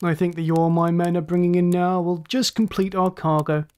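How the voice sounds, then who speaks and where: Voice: mid-range voice, little pitch movement, moderate pace, a little mellow, natural voice. A narrator voicing a cynical giant of a pirate captain with smug, mocking courtesy in a science fiction story. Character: cynical swarthy giant pirate ship master, gloating.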